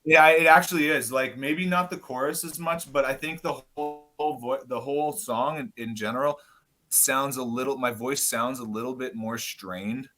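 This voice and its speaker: Loud, swearing man with a bright voice. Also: mid-range voice, steady tone, moderate pace, faint music behind. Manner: loud, swears often, rambling. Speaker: podcast guest, rapper. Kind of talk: casual chat.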